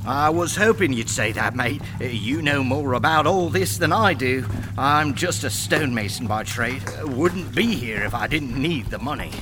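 A man's voice: medium pitch, lively intonation, normal speed, few pauses, noisy background, natural voice. A man playing a plain-spoken, uneasy labourer in a radio thriller, with a matey manner.

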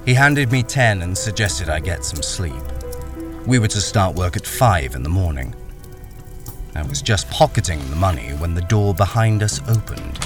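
A male actor as a cornered deserter and miner in a radio crime drama. His voice is low, troubled and wary, with lively rises and falls. Faint music sits behind him. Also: moderate pace. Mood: grim, reflective. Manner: hesitant, troubled, grim. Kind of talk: radio crime drama acting with first-person narration.

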